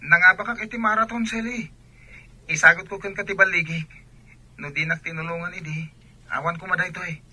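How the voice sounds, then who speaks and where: A man playing a friendly, modest young athlete with a raspy edge in an Ilocano radio drama. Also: high voice, fairly even intonation, fairly quick, long pauses, sharp tone, exaggerated voice. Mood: grateful, upbeat.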